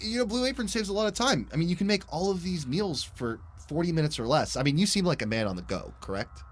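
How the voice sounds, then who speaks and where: A man's voice: on the high side, very animated, fast talker. A man co-hosting a podcast, giving a conversational ad read. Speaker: podcast co-host reading an ad.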